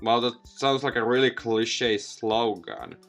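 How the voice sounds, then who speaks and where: A man's voice: mid-range voice, steady tone, takes it slowly, a bit bright, faint music behind, pitched below the performer's own voice. A man voicing a lazy, sardonic teenage schoolboy, the dry and sarcastic protagonist of a visual novel game.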